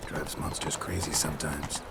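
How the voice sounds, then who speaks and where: An actor playing a stoic, gruff monster hunter in a video game, terse and deadpan with a gravelly voice. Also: male voice, normal speed, very noisy. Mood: grim, matter-of-fact. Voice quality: deep, gravelly voice. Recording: loud music behind.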